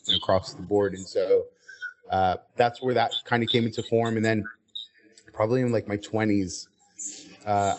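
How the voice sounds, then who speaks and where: An American man speaking as an interview guest, articulate and explanatory. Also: lowish voice. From United States, Southern California. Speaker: podcast guest. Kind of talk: interview conversation.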